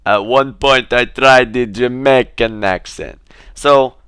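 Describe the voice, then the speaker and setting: A young man talking casually to his camera in a relaxed, rambling way, with fillers and restarts. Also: mid-range voice, takes it slowly, smooth, no room sound, studio quality. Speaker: vlogger.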